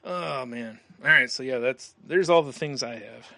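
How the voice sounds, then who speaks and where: A man with a bright, forward voice chats casually as a podcast co-host. He talks in slang and slips into short mock dialogue as he riffs. The sound is close and dry. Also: medium pitch, moderate pace, gravelly, dry recording. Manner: slangy, riffing with acted-out quotes. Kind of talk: casual comedic chat.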